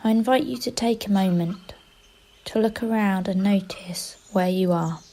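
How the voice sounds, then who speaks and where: A woman leading a guided meditation in a gentle, unhurried way, pausing between short instructions. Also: medium pitch, fairly even intonation. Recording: faint outdoor ambience with birdsong. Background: birdsong.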